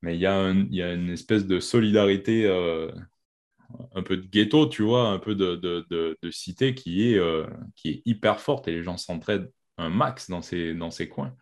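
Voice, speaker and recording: Very deep male voice, relaxed and slangy, easy conversational flow. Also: moderate pace. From Quebec. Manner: relaxed, slangy, frequent "tu vois". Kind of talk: casual conversation.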